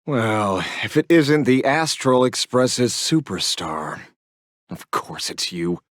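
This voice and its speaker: A man's voice: medium pitch, big pitch swings, slow pace, dry recording. An actor playing a wry, streetwise male investigator in a game, talking with sardonic, self-assured drawl. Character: wry streetwise male investigator, lone-wolf justice seeker. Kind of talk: game dialogue voice acting. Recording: studio voice with faint game music.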